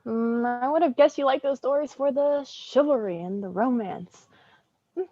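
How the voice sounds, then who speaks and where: A woman playing a reserved young woman, hesitant and gently teasing, in staged dramatic dialogue. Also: sits high, normal speed, no room sound, lo-fi sound.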